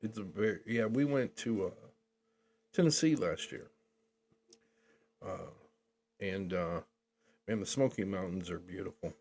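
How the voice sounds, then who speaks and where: Older male podcast co-host, low-ish voice, rambling jokey chat, faint background hum. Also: a little slow, gravelly, long pauses, a little mellow. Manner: rambling, jokey, laughs often. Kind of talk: casual chat.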